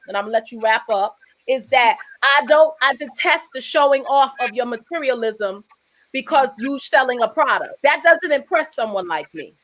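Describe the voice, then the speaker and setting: High-voiced woman hosting a talk show. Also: fairly bright tone, dry recording. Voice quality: high-pitched voice. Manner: emphatic, preachy, rambling, with repeated restarts. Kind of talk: casual talk show conversation.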